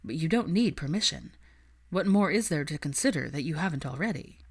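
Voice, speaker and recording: A woman voicing a cool, quietly devoted young man in a narrated story, with a dry, understated, assured way of speaking. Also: low voice, expressive, fairly quick, husky, exaggerated voice.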